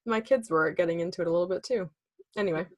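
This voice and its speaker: A woman in her thirties co-hosting a casual knitting podcast, chatty and quick to laugh. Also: lowish voice. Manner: chatty, laughs often, lots of fillers. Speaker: podcast co-host.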